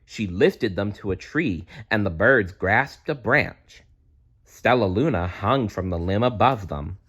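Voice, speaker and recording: A man reads a children's storybook aloud in a slightly low voice. His delivery is slow and highly animated, with conversational asides mixed into the narration. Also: husky. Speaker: storybook reader. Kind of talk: expressive read-aloud narration with personal asides.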